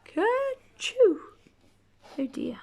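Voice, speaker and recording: A woman reading a rhyming children's book aloud expressively, drawing out key words and chatting gently to young listeners. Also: very high-pitched, vocal fry. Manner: animated, drawn-out key words, gentle questioning asides. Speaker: storybook reader reading to children.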